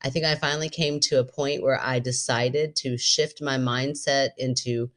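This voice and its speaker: A woman in her forties co-hosting a podcast in casual chat, an animated storyteller who laughs often. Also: very deep voice, moderate pace. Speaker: podcast co-host.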